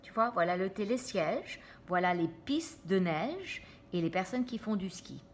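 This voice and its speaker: Lady reading a kids picture book, slow, very up and down, stops to explain stuff. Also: mid-range voice, lively intonation, a little hiss or hum. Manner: explains pictures and checks in with listeners. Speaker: storybook reader for children. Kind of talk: animated read-aloud with asides to children.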